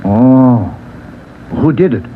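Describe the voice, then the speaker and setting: Brash, defensive union steward: highish, very animated, choppy street talk, muffled old recording. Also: male voice, drawn-out words. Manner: colloquial, blustery, short choppy phrases. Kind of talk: vintage crime drama acting. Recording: dull, noisy vintage broadcast audio.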